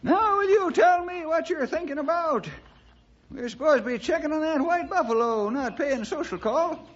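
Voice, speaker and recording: An actor playing an excitable, folksy old cowboy sidekick with a high, cracking voice in a radio western. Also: male voice, moderate pace. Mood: suspicious, puzzled. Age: older. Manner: folksy, exclaiming, rustic drawl. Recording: dull vintage radio recording.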